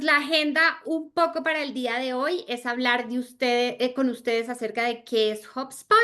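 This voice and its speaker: A woman's voice: a little high, fairly bright tone. A woman in her thirties from Argentina, leading an online sales training in a friendly, chatty way with many fillers. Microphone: video call.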